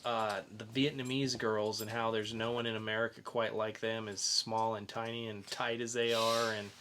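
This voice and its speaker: A man's voice: fairly low pitch, flat delivery, normal speed, bright, forceful tone, a little hiss or hum. A man hosting a podcast, casually reviewing in an informal, rambling way with some swearing. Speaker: podcast host and comic reviewer.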